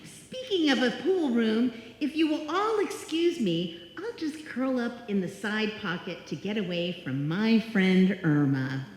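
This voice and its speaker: Woman acting a wry radio sitcom roommate, slow, echoey. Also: fairly high pitch, lively intonation, a little mellow, a little hiss or hum. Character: wry, exasperated young woman narrating sitcom life. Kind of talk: live old-time radio comedy acting. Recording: echoey hall, live stage performance.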